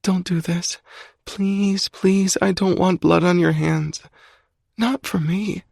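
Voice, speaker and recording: A man's voice: high-pitched, steady tone, slow pace, creaky, a little mellow, no room sound, studio quality, pitched above the performer's own voice, heavily acted. A man voicing a frightened young woman who pleads and panics in an audiobook. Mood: begging. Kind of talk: audiobook character voice.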